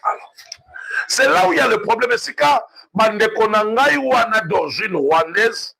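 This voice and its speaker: A man with a Congolese accent makes an impassioned political argument in a high, raspy voice. His pitch swings widely as he stresses points, repeats words and throws out rhetorical questions. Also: lively intonation, normal speed, bright, forceful tone, rough recording. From Democratic Republic of the Congo.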